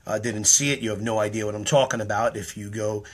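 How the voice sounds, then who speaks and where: Man in his thirties, a little hoarse and tired, rambling earnestly to a close webcam in a small room. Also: lowish voice, fairly even intonation, fast talker. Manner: earnest, rambling explanation with many ums. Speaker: online performer explaining his act. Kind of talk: casual talk to camera. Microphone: webcam or phone at close range.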